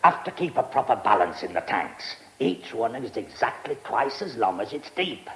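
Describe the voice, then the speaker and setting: Eccentric British lord, brusque and clipped, swinging pitch, mumbled hesitations, radio drama. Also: male voice, big pitch swings, normal speed, low-quality recording. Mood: proud, absorbed. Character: eccentric, brusque lordly press baron obsessed with goldfish.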